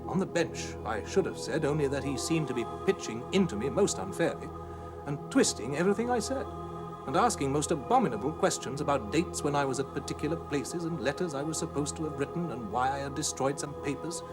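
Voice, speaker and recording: A man's voice: on the high side, animated, a little fast, loud music behind the voice, close to an everyday voice. A man voicing a troubled, genteel husband in a storybook, earnest and precise as he recounts things. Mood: anxious, indignant.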